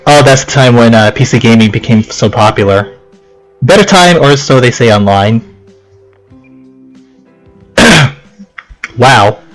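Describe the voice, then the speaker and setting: A man's voice: medium pitch, low-quality recording. A young man giving casual, rambling let's play commentary, chatting and reading game text aloud.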